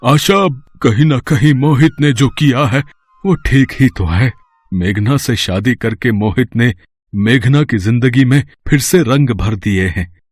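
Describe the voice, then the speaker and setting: A man voicing an earnest, sorrowful older father in an animated family melodrama. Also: mid-range voice, measured pace, husky, no room sound, studio quality. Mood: earnest, reflective.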